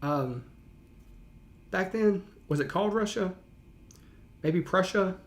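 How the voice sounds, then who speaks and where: A man's voice: high-pitched, lively intonation, slow. A man giving casual, enthusiastic reaction commentary as a streamer, speaking in informal slang and often repeating himself. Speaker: teacher and streamer hosting a reaction live stream.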